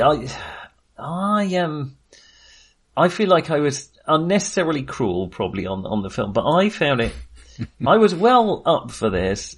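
A man's voice: a little high, breathy edge. A man co-hosting a film podcast in casual discussion, talking in a hesitant, rambling way and often correcting himself.

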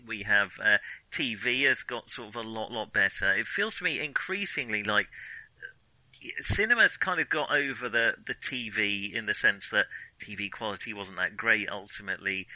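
Male, podcast co-host, sharp bright voice, dry sound, casual film chat, hesitant and rambling. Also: on the low side, no echo, rough recording.

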